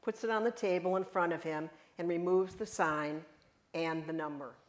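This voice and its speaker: A woman's voice: fairly low pitch, moderate pace. An older woman narrating a play reading, introducing cast and setting in a plain read-aloud manner with some hesitations. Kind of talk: scripted narration.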